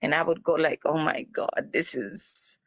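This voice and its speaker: A woman's voice: low voice, steady tone, measured pace, gravelly, no room sound. A woman from Honduras with a Caribbean accent, earnestly telling her own stories as a guest in a discussion. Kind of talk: casual discussion.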